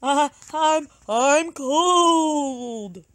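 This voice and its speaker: A woman voicing a gentle, sad male bear who is cold and shivering, in a children's storybook read aloud, with drawn-out, hesitant, quavering speech. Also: high-pitched, no echo, voice pushed up. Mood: miserable, shivering.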